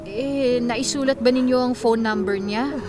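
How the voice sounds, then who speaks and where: A woman's voice: fairly high pitch, a little slow, music in the background, natural voice. A woman playing a caring, take-charge young employer in a radio drama, commanding yet considerate. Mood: concerned.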